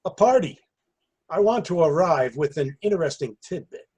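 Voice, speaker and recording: A man playing a hapless, earnest party storyteller in readers theater, hesitant and husky-voiced. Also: on the high side, lively intonation, moderate pace, a little mellow. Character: hapless, earnest man rehearsing a half-baked party anecdote.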